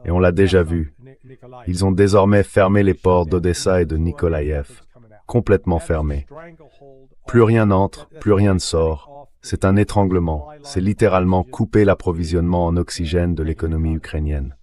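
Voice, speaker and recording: Deep voiced guy doing dubbed political commentary, very low, measured and matter of fact. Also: steady tone, mellow. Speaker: translation voice for speech and guest analysis. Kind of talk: translated voice-over of political commentary.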